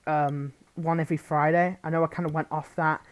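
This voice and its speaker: A woman's voice: low-pitched, flat delivery, brisk. A woman hosting her own channel, giving a casual, unscripted update to listeners in a hesitant, rambling way that often breaks off and restarts. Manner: rambling, hesitant, self-interrupting. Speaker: roleplay audio creator, channel host.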